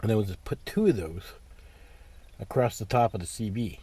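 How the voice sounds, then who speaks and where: A man giving a casual, unscripted DIY walkthrough, explaining step by step in a plain-spoken, halting way. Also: on the low side, lively intonation, darkish tone, husky. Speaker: DIY how-to presenter. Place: in or beside a parked pickup truck.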